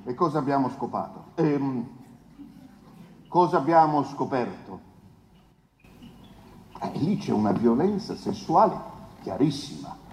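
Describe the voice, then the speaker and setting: An actor playing a pompous male defence lawyer in a 1970s trial, pleading with grand, insinuating rhetoric and dramatic pauses in a theatrical stage drama. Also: mid-range voice, expressive, a little mellow, lightly acted. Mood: declamatory, emphatic. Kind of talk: theatrical courtroom oration. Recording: echoey reverberant hall, noisy background.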